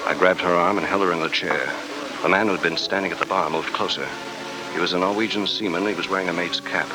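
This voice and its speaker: An actor playing a hard-boiled American adventurer in 1940s radio style, clipped, tough and wry. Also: male voice, low voice, steady tone, fast talker, music in the background, close to an everyday voice. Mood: wry narrating. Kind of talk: 1940s radio acting, scripted first-person narration. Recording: very noisy 1949 radio transcription.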